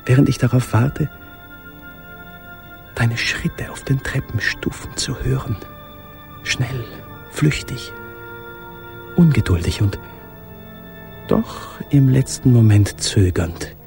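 A man acting a brooding, tender, secretive letter writer in a radio play, with halting, deliberate phrasing. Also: medium pitch, creaky, a little mellow, faint music behind. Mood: longing.

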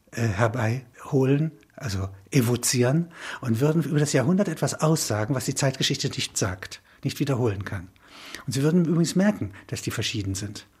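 A man in reflective intellectual conversation, musing in halting phrases punctuated with small affirming tags. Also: mid-range voice, darkish tone, slightly rough. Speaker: interviewee, filmmaker.

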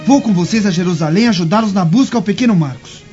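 Actor as high-voiced, stubborn Roman youth. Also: male voice, fast, darkish tone, lightly acted. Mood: defiant. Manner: defiant, insistent. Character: stubborn, cheeky Roman youth, a younger brother. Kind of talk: radio drama acting. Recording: muffled audio with faint music.

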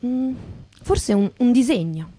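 A woman's voice: a little high, lively intonation, slow pace, mellow, breathy edge, no echo, full character voice. A woman playing a pensive storyteller in poetic theatre. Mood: pensive wonder. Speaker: stage actress. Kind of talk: poetic theatre dialogue and dramatic narration. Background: music.

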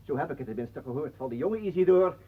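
Sly teasing storyteller guy, high husky voice, old muffled radio play. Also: lively intonation, fast. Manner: theatrical, insinuating. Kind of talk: radio drama acting.

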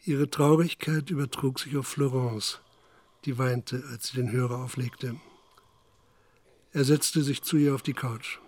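An actor playing a sober narrator who recounts a crime in a factual, composed way in a radio drama. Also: male voice, mid-range voice, steady tone, unhurried, darkish tone, natural voice.

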